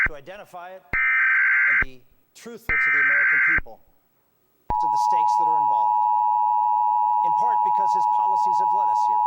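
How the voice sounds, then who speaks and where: A man with a fairly high voice delivers a grave political speech. He speaks in declarative statements, like a politician addressing the public. The clip is very noisy, with heavy interference around his words. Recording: very noisy archive clip with clicks. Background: loud noise.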